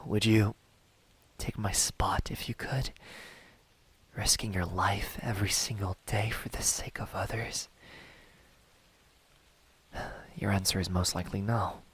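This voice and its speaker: A man playing a smug, menacing young demon hunter in an ASMR roleplay, taunting softly and deliberately, often close to a whisper. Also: fairly low pitch, steady tone, husky. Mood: grave, challenging. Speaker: amateur voice actor.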